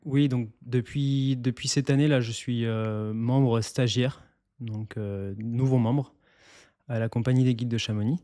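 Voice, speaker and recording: A man from eastern France with a mid-pitched, mellow voice answers interview questions as a mountain guide. He explains things calmly and steadily, pausing now and then to find his words. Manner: calm and explanatory, with some hesitations. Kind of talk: interview guest.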